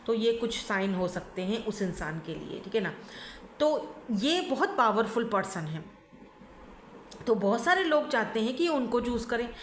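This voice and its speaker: Hoarse, chatty woman reading tarot. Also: fairly high pitch, big pitch swings, unhurried, slight background noise. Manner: chatty, keeps checking in with the listener, mixes in English. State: hoarse from a sore throat and flu. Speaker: tarot reader. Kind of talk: casual pick-a-card reading to camera. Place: indoors at home by a window. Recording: echoey room.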